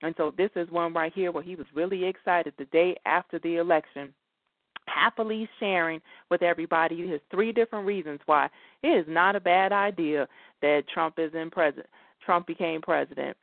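A woman's voice: lowish voice, normal speed, no room sound. A woman hosting a talk radio show in a conversational way, addressing her listeners directly. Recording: dry, thin phone-like line.

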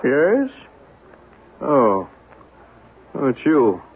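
A man's voice: mid-range voice, huge pitch swings, extremely slow, noisy background, heavily acted. An actor in a 1970s radio drama playing an irritable, guarded man who is curt, skeptical and sardonic. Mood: suspicious. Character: irritable, guarded, dismissive man hosting an unwanted visitor. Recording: thin narrowband old radio recording.

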